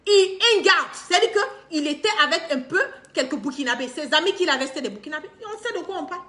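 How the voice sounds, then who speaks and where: A middle-aged woman with an Ivorian accent speaks in an extremely high voice with lively, swinging intonation. She delivers an impassioned live-stream commentary from a room at home, hammering points through emphatic repetition. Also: quick pace. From Ivory Coast. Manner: emphatic, repeats phrases for stress, animated with hand gestures. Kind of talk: impassioned, unscripted monologue to camera. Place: indoor living room.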